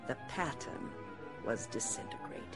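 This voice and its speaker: A performer playing a solemn storyteller, giving a measured, portentous scripted narration for a fantasy prologue. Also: mid-range voice, expressive, close to an everyday voice. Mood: solemn, ominous. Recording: narration over prominent music. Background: music.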